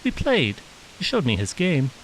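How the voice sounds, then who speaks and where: A man's voice: on the high side, huge pitch swings, moderate pace, very noisy, voice pushed up. A man voicing a young, innocent, curious boy with plaintive, simple childlike phrasing in a storybook reading. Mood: pleading.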